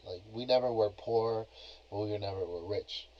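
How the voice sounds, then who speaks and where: A man's voice: on the low side, normal speed, muffled recording. Laid-back young man on a cheap mic. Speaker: podcast host. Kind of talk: casual solo podcast talk. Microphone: cheap plug-in computer microphone.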